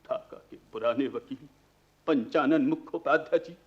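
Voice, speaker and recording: A man's voice: medium pitch, unhurried, close to an everyday voice. An actor playing an older, ruined lawyer and affectionate father in a radio play, speaking with poetic, ironic self-mockery. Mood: bitter, ironic. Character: ageing, embittered but affectionate father, a ruined lawyer given to verse. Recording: muffled archival radio recording.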